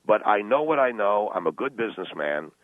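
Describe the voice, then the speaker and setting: Man playing low-voiced, defensive businessman dad on phone. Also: big pitch swings, no room sound. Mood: indignant, insistent. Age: middle-aged. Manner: halting, repetitive, defensive. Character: self-justifying, money-minded middle-aged businessman father. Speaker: phone-in guest. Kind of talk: improvised talk radio comedy call. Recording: narrow, tinny phone line.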